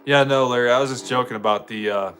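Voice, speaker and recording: A man's voice: mid-range voice, fairly even intonation, fast, fairly bright tone, soft background music. An American man chatting casually with viewers on a live game stream, laid-back, slangy and joking.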